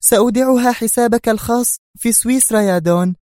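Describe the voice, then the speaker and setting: A man voicing a nervous, sharp-tongued woman spy in an audiobook, speaking in a high, strained and urgent voice. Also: fast talker, dry recording, clean studio sound. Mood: agitated. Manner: urgent, agitated. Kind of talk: audiobook character reading.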